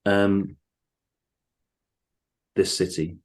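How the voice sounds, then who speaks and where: A man's voice: low-pitched, little pitch movement, extremely slow, a little mellow. A man speaking as a guest poet, reading verse and chatting casually about it. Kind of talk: poetry reading and casual chat.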